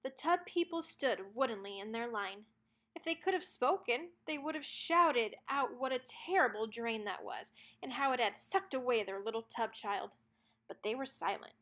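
A woman narrating a children's storybook aloud in an expressive read-aloud style. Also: a little high, normal speed.

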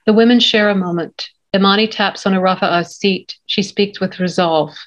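A woman's voice: medium pitch, steady tone, moderate pace, dull audio. A woman reading screenplay stage directions as scripted narration over a video call.

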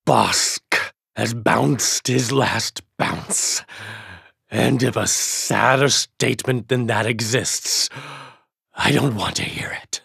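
A man playing a gruff, cunning, theatrical reptilian alien bounty hunter with a raspy, gravelly voice in a video game. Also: mid-range voice, slow, sharp tone, no echo, clean studio sound, heavily acted. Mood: mournful. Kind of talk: video game character acting.

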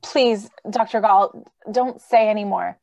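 A woman's voice: mid-range voice, fairly even intonation, a little slow, creaky, a little mellow, dull audio. A woman playing an idealistic, anxious lady in a radio drama, speaking with earnest, theatrical pleading. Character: idealistic, anxious, gentle lady who loves humanity. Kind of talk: old-time radio drama acting. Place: remote video call.

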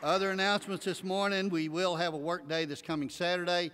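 A man's voice: high voice, little pitch movement, brisk, a bit bright, clean tone, a faint crowd. Older Texan man at a church pulpit. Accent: East Texas. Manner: folksy, many filler uhs. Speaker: church announcer. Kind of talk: church announcements. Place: large church sanctuary with choir behind. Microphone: pulpit mic. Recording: long reverb of a big sanctuary.

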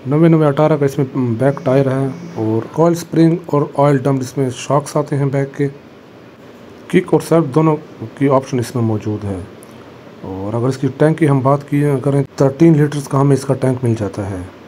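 Man calmly reviewing a motorbike. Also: mid-range voice, a little hiss or hum. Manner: plain, matter-of-fact, pauses with fillers. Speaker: motorbike vlogger. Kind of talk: casual walkaround bike review. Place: indoor motorbike showroom.

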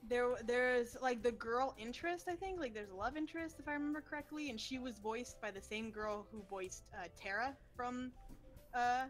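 A woman chats casually on a livestream in a somewhat high voice. She is informal and emphatic, with plenty of slang, and music plays behind her. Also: normal speed. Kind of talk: casual chat on a game livestream. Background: background music.

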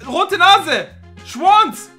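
A man in his twenties hosting a gaming video with loud, excitable, slangy joking commentary, close on the mic. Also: very high-pitched, drawn-out words, soft background music. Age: 20s. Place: small indoor streaming room.

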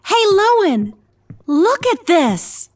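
An eager young space explorer played by a woman in a sci-fi drama. Her high voice swoops wildly with bubbly curiosity, the words drawn out. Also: big pitch swings, slow, full character voice. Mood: excited, eager. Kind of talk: sci-fi audio drama acting.

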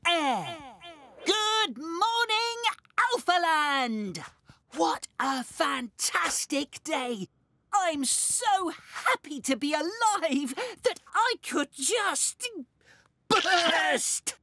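A woman voicing Double E, cheerful twin letter blocks in a children's cartoon, bubbly and excited, over music. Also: very high-pitched, very slow, no echo, clean studio sound, heavily acted. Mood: joyful.

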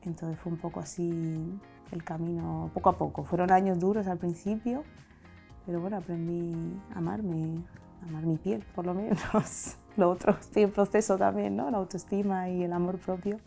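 A woman in her thirties from Madrid with a Castilian Spanish accent, speaking candidly and reflectively in an interview, seated on a sofa in a bright studio with a lapel mic. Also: lowish voice, fairly even intonation, normal speed, creaky, soft background music. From Madrid, Spain. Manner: reflective, open, gesturing with her hands. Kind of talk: candid personal testimony.

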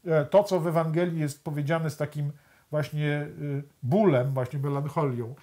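A man's voice: a little high, steady tone, normal speed, warm tone. Older man talking with many restarts. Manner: thoughtful, many restarts and hesitations. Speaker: author and guest. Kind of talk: studio discussion. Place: TV studio.

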